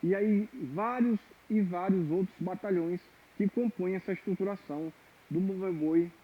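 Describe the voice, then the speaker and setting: A man teaches a percussion lesson in a somewhat high, husky voice. He explains step by step and keeps checking in with his listeners. The sound is thin and phone-like. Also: normal speed. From Maranhão, Brazil. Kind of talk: online music lesson. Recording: tinny phone-like audio.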